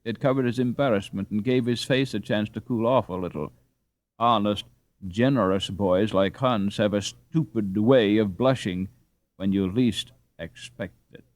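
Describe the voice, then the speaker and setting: A man reading a story aloud as an audiobook narrator, in an expressive scripted style. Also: lowish voice, unhurried, no echo.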